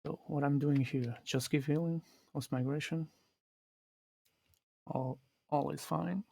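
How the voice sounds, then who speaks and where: A man from Portugal with a Portuguese accent, explaining his game live in a halting way that restarts phrases. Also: on the high side, steady tone, creaky, warm tone, slightly rough, no room sound. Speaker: streamer. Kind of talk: live gameplay commentary.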